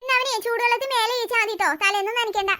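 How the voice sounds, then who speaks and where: A woman's voice: very high-pitched, steady tone, rapid-fire, bright, forceful tone. A woman voicing a caring, overworked mother in a family cartoon, fussing over and mildly scolding the children.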